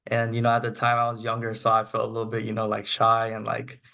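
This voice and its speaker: A man's voice: fairly low pitch, fast talker, vocal fry. A young man from Florida, a guest on an interview podcast, answering casually and filling his talk with "you know". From Florida, USA. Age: young adult. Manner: relaxed, many "you know" fillers. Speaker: guest, youth volunteer.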